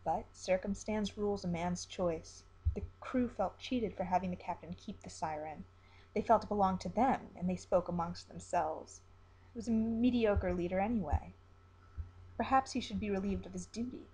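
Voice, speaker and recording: A woman with a husky, slightly rough voice narrates a grim story. She delivers it as a dramatic storyteller reading from a script. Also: medium pitch, normal speed, darkish tone, poor audio.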